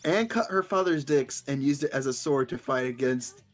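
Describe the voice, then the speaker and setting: A man's voice: mid-range voice, normal speed, soft background music. A man streaming a casual, stumbling read-aloud of fan fiction, breaking off to comment. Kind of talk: casual fanfic reading with commentary.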